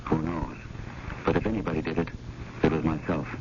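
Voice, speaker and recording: A man's voice: extremely low pitch, fairly quick. A man playing a harried, wry, fretful museum curator in a radio drama, politely exasperated. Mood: weary, wry. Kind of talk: 1980 radio drama acting with first-person narration. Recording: dull, noisy old radio broadcast.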